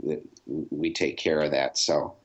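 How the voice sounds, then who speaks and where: A man speaking casually as a podcast guest, in a halting manner peppered with filler phrases. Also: a bass voice, flat delivery. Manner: halting, frequent "you know". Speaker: guest, air traffic controller. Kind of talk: podcast interview, casual explanation. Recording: clear background noise with clicks.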